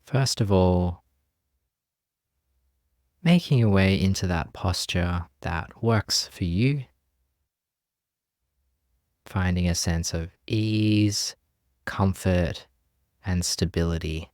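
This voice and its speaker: Slow, low-voiced man guiding meditation. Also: no room sound, clean studio sound. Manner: gentle, unhurried with long pauses. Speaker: meditation teacher. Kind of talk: guided meditation.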